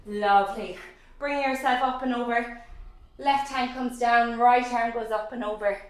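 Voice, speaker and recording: A woman with a croaky, dry voice, cueing a Pilates class with steady counts and frequent praise. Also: fairly high pitch, measured pace, very echoey, distant mic, low-quality recording. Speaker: Pilates instructor.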